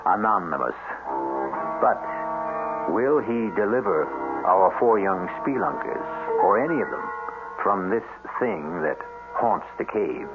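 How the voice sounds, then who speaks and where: A man narrating a radio mystery drama in a theatrical, wry and ominous manner. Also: low-pitched. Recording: tinny band-limited old radio broadcast.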